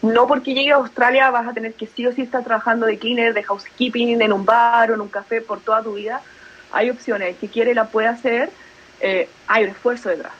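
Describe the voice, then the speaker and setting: A woman's voice: on the high side, fairly even intonation, brisk, husky, lo-fi sound. A young adult woman from Chile with a Chilean Spanish accent, chatting casually as a podcast guest and laughing easily between thoughts. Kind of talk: casual conversational interview.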